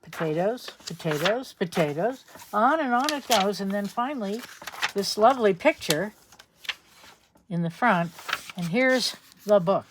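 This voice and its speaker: A woman reads a children's storybook aloud. Her pitch moves in a very lively, expressive way, at an average height. There is faint noise behind her. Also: measured pace. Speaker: storybook reader. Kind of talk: children's picture book read-aloud.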